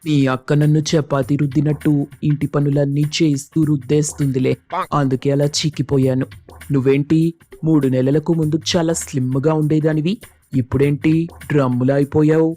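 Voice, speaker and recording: A man's voice: a little high, level tone, normal speed, dark tone, faint music behind, close to an everyday voice. A man playing a teasing husband and brother-in-law who banters dryly in a family story. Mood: joking.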